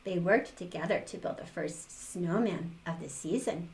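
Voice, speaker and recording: A woman's voice: lowish voice, moderate pace, low-quality recording. An older woman reading a picture book aloud to children, expressively, with little exclaimed asides. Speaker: storytime reader for children.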